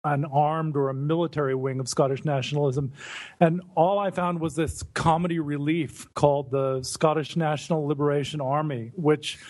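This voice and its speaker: American male podcast host, higher voice, chatty storyteller. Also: fairly even intonation, moderate pace, a little mellow. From United States. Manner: chatty, stumbling starts, amused. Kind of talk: casual podcast conversation.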